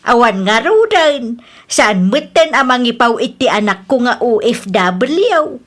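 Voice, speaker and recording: A woman's voice: fairly high pitch, very animated, a little slow. An actress with an Ilocano Filipino accent playing a defensive, lovestruck middle-aged mother in an audio drama. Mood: pleading, flustered. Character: defensive lovestruck mother duped by young boyfriend.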